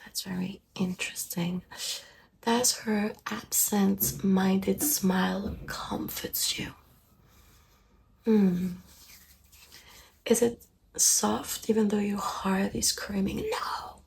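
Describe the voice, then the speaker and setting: A woman playing a calm, soft-spoken therapist in a deadpan ASMR meditation roleplay, hushed and breathy, often dropping into a whisper. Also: medium pitch, slight background noise, rough recording. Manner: slow, soothing, hushed, deadpan. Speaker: ASMR performer.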